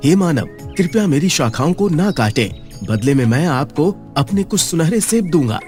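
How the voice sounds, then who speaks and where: A man's voice: a little high, sing-song, moderate pace, darkish tone, soft background music. A man reading a pleading magic tree.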